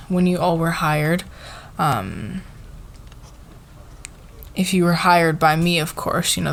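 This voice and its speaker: A woman playing a flustered, fair-minded company boss in a casual roleplay ASMR, with a halting, informal, giggly manner. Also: on the low side, gravelly. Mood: sheepish, awkward.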